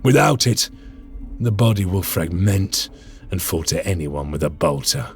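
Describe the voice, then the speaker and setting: An actor playing a stern veteran space marine lieutenant in a game cutscene, grave and solemn. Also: male voice, low voice, lively intonation, unhurried, gravelly, darkish tone, full character voice. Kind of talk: video game cutscene acting. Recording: game audio over music.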